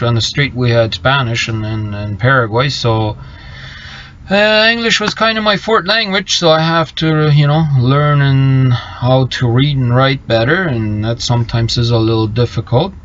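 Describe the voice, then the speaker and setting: A middle-aged man chats casually on a live stream from his parked truck, his voice at an ordinary middle pitch. He talks in halting stretches, restarting sentences and reaching for words. The sound is slightly muffled, with faint noise underneath. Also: a bit bright. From Bolivia. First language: Low German. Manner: halting, many fillers and restarts. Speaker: trucker vlogger reading live chat.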